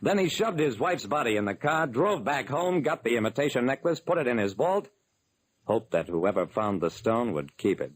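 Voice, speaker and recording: An actor playing a hard-boiled detective who recounts a case in terse, dramatic old-time radio narration. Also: male voice, medium pitch, very animated, moderate pace, slightly rough, low-quality recording. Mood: grim, matter-of-fact. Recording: vintage radio broadcast recording.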